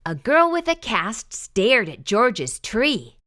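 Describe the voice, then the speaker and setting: A woman reads a picture book aloud to children, slow and sing-song. Her voice is bright and swoops all over. She draws each sentence out for young listeners. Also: a little high. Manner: expressive, sing-song storytelling to kids. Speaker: children's storytime host.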